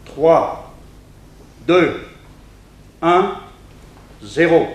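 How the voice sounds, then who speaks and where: A French man acting in a 1960s radio drama as a stern official presiding over an execution, declaiming ceremonially. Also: fairly high pitch. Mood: commanding, grave. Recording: very echoey hall, faint noise.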